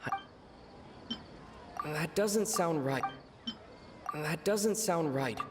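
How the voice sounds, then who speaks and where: A man voicing a pensive young traveler in an anime video game, musing in a deliberate, hesitant way. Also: on the high side, lively intonation. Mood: pondering. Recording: long reverb, clearly noisy game audio.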